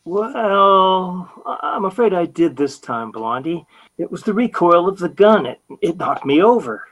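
An actor playing a sheepish, excitable young husband in an old radio comedy, hesitant, boyish and earnest. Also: male voice, high voice, measured pace, lo-fi sound, exaggerated voice. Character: sheepish, excitable, bumbling young husband, loyal to his wife. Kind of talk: 1940s radio comedy acting.

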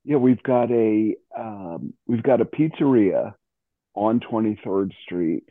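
A middle-aged or older American man chatting casually, halting and amused as he talks. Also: lowish voice, expressive, dry recording. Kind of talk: casual chat. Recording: thin phone-like call audio.

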